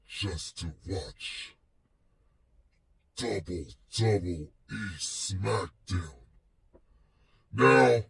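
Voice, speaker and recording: A man hosting his own web series, talking casually to fans in slangy, boastful, drawn-out emphatic phrases, with a gravelly, husky voice. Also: mid-range voice, far from the mic. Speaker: host of a personal web series. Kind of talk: casual solo rant to fans.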